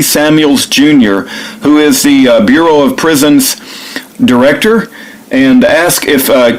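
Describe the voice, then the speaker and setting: A man speaking informally and earnestly, as if leaving a phone message or narrating one, in a halting, repetitive, pleading way. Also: on the high side, poor audio. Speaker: activist video maker recording himself making a phone call.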